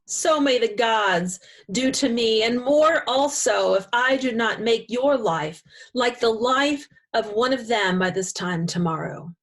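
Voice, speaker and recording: A woman with a somewhat high voice reads scripture aloud. Her delivery is that of a read text. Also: expressive, unhurried, hardly any pauses.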